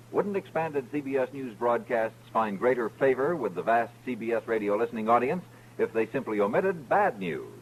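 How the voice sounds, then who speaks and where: Lively American vintage radio announcer man. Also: on the low side. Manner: polished, emphatic announcer delivery. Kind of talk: 1950s scripted radio promo narration. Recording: faintly noisy old radio recording.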